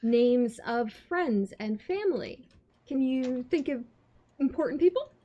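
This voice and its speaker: A woman acts out a soothing, gently patronizing vampire woman in a tabletop roleplay. Her high voice swoops expressively as she coaxes and prompts. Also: animated, unhurried, dull audio. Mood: coaxing, soothing. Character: coaxing, motherly vampire woman, gently patronizing New Yorker.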